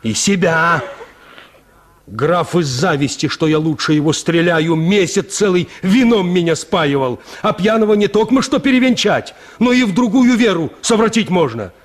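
A man's voice: high voice, expressive, moderate pace. An actor in a radio drama playing a vain, callous peasant huntsman who talks in a boastful, dismissive, folksy way. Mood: proud, scornful. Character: vain, callous peasant huntsman scorning his unloved wife. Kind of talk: Russian radio drama acting.

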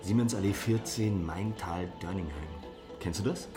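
A man's voice: low-pitched, normal speed, lightly acted. A man acting a dry, sceptical young bar-goer in casual, laconic radio drama dialogue. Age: young adult. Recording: echoey room, music behind.

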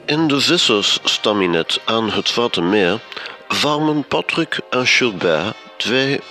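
An actor playing a theatrical, measured narrator of a radio drama. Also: male voice, on the low side, animated, bright, forceful tone, soft background music, heavily acted.

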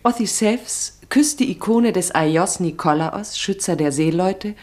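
Low-voiced woman narrating a radio play. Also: a little slow, darkish tone. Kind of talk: literary radio play narration, present tense.

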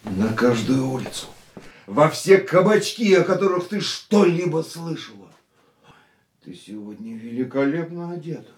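An actor playing an ardent, reflective racing driver in a radio drama, speaking in an intimate, searching, romantic manner. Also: male voice, a little high, animated, normal speed, breathy edge. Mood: animated, reflective. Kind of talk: radio play acting.

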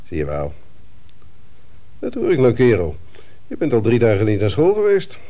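An actor playing a fatherly but sceptical family doctor in a Dutch radio play, questioning deliberately with thoughtful hums. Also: medium pitch, wildly swooping pitch, muffled recording. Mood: probing, friendly.

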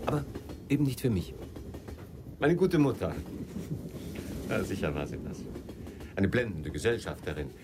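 Casting: a world-weary middle-aged lover in radio drama, deep register, halting literate delivery, noticeable background noise. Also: male voice. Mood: melancholy, musing. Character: aging former lover, evasive, melancholy, world-weary. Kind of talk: German radio drama acting, dialogue and monologue.

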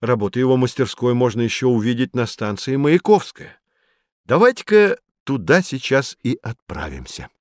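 A man playing a patient, earnest guide who explains things to children with deliberate emphasis in a scripted educational audio drama. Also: medium pitch, very animated, dry recording, natural voice. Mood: explaining, patient.

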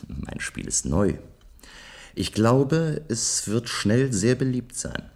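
An actor playing a restless man of a future society who longs for adventure, musing deliberately in a German science-fiction radio play. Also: medium pitch, very animated, darkish tone, heavily acted. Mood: proud, eager.

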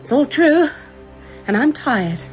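A woman's voice: high voice, big pitch swings, takes it slowly, darkish tone, soft background music, exaggerated voice, poor audio. An actress playing a tired, resigned young woman accused of murder in a radio drama. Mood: weary resigned. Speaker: Audrey Strange, arrested woman. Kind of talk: BBC radio drama acting.

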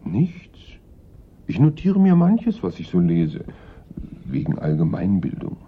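A simple, nonchalant workman in a radio drama, answering evasively and colloquially. His voice is low and husky with lively swings in pitch. The sound is thin and band-limited, like an old broadcast. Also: slow, full character voice. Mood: defensive. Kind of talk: radio play acting. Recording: muffled, narrow-band old radio recording.

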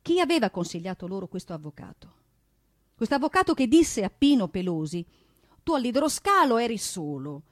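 A woman narrating a dramatic theatrical monologue, emphatic, with pointed pauses and rhetorical delivery. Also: on the high side, big pitch swings, no room sound, clean studio sound. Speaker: stage narrator and singer. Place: radio studio.

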